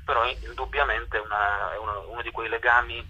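Very raspy, sharp male voice on phone. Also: mid-range voice, fairly even intonation. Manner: halting, thoughtful, pausing between phrases. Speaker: stage performer, interview guest. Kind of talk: casual phone interview. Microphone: telephone. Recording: dull, band-limited phone line.

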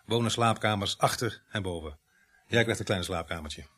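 An actor in a radio play as a middle-aged, grumbling veteran village police sergeant, speaking with dry, gruff sarcasm. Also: male voice, fairly low pitch, normal speed, a bit bright. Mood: dry, instructive. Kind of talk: radio drama acting.

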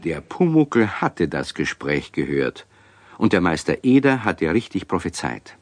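A man's voice: low-pitched, sing-song, measured pace, dry recording, full character voice. A man narrating a children's radio play in scripted storytelling style.

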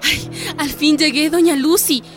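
High-voiced eager village neighbour. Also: female voice, steady tone, measured pace, soft background music. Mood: relieved, eager. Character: eager village woman neighbour come to vote. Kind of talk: radio drama acting.

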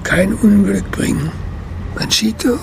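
An actor playing an old Chinese man in a radio drama, sorrowful, dignified and halting. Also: high voice, gravelly, noisy background, full character voice. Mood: sorrowful, ashamed. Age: older.

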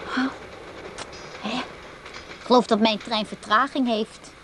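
A woman's voice: fairly high pitch, steady tone, slow pace, fairly bright tone, slight background noise. A woman playing a curt, indifferent, tired working woman in a radio play, terse and dismissive. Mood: offhand, dismissive. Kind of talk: Dutch radio play acting.

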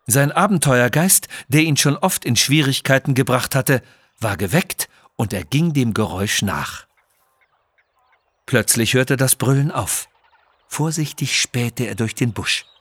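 A male narrator reads a children's adventure story from a script. His voice sits at a medium pitch and has a husky grain. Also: fairly bright tone, dry recording.

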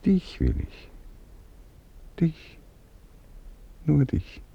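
An actor playing a tender aristocratic baron who speaks intimately and softly in a radio play. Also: male voice, extremely slow, gravelly, breathy edge, muffled recording, exaggerated voice. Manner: intimate, soft-spoken, lively pitch.

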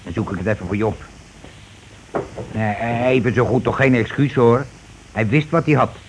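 An actor playing a bossy, indignant, petty working-class husband in a Dutch radio play, with a blustering, colloquial way of talking. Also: male voice, mid-range voice, normal speed, lightly acted. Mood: self-important. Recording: noisy old radio play recording.

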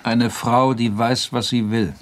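Actor as a slow, wry, prodding man. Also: mid-range voice, steady tone, lightly acted. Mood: dry, wry. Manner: short prodding replies, dry and ironic. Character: probing, wry male drinking companion, coaxing and persistent. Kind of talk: radio play acting.

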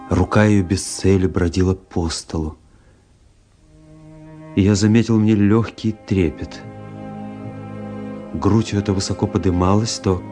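An actor playing a world-weary officer who narrates a literary radio play in a measured, brooding, dramatic way. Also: male voice, low-pitched, a little mellow. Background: music.

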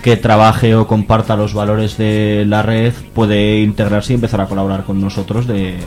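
A man's voice: on the low side, little pitch movement, moderate pace, darkish tone, faint music behind. A man with a Spanish accent from Spain, explaining things plainly and a little hesitantly as a radio guest. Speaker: guest, activist with a solidarity network. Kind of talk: casual radio interview talk.

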